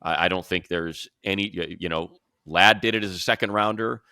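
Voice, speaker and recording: A man talks sports as a show commentator in a low voice with a bright, forceful edge. He leans on points emphatically and repeats himself for stress, in a dry, close sound. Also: brisk, dry recording. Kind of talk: sports talk discussion.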